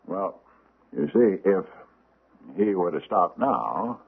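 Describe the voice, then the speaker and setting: Pompous old judge, low n gravelly-husky, old muffled radio show sound. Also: male voice, big pitch swings, slow pace, exaggerated voice. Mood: grave, measured. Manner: hesitant, formal, ponderous phrasing. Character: aging pompous judge, ponderous, secretive and grumbling. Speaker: actor in radio drama. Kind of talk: old-time radio drama acting. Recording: muffled, band-limited vintage radio recording.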